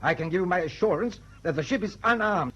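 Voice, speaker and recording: An actor playing a stiff, defensive German tanker captain in a radio drama. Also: male voice, sits high, lively intonation, quick pace, a bit bright. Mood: defensive, formal. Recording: dull sound, faint background noise.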